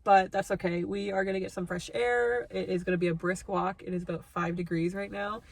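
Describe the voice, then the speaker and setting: A woman's voice: steady tone, fairly quick, gravelly, a little mellow, no room sound. Chatty young woman with a stuffed-up nose. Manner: chatty, self-deprecating, talks in a high sweet voice to her dog. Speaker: vlogger. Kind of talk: casual vlog chat. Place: parked car, then walking outdoors on a ravine trail.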